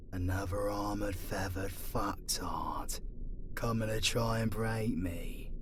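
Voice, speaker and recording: Guy doing a bitter demon prisoner, really low breathy near-whisper, sardonic, faint noise behind, asmr roleplay. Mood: defiant, contemptuous. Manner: slow, sardonic. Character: bitter, defiant, foul-mouthed male demon prisoner. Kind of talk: fantasy ASMR audio roleplay acting.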